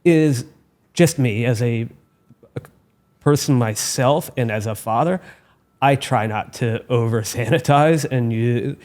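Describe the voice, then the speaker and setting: A man who founded a skincare company, talking as a guest in a measured, earnest way and often restarting his phrases. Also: medium pitch, a little mellow. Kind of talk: casual interview chat.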